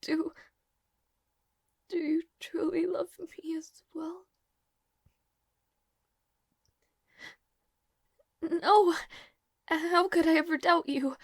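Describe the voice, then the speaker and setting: Woman acting a very high-voiced, fretful young maid. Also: steady tone, dry recording, studio quality, heavily acted. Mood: shy, tender. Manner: halting, stammering, breathy pleading delivery. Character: nervous, lovestruck young maid, tearful and self-deprecating. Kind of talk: emotional romantic audio roleplay acting.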